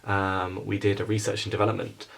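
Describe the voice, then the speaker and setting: A man's voice: on the low side, level tone, a little fast, vocal fry. A young man giving an informal explanatory narration about how something creative was made. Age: young adult. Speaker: student puppet-show maker narrating a making-of.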